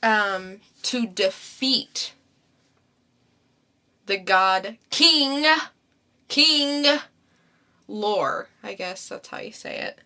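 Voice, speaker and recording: Slow-talking bright-voiced young woman. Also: mid-range voice, creaky. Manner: laid-back storyteller recapping a plot. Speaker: podcast co-host. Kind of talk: casual podcast chat.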